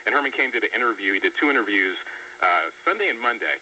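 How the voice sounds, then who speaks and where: A man's voice: fairly low pitch, animated, fast talker, pushed and bright. A man giving political commentary as a radio guest, articulate, sardonic and incredulous. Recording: dull, distant radio audio with faint hum.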